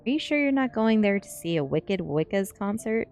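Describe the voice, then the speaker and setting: A woman's voice: mid-range voice, sing-song, moderate pace, darkish tone, smooth, faint music behind, pitched above the performer's own voice. A woman reading the part of a skeptical, grudging middle-aged father in a story. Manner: disapproving, reluctant.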